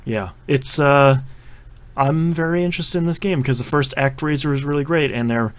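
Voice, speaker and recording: A male host talks through a casual gaming podcast at an easy, animated clip. His voice sits in an ordinary middle register. He keeps the show moving with quick asides. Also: mid-range voice. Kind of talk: casual chat.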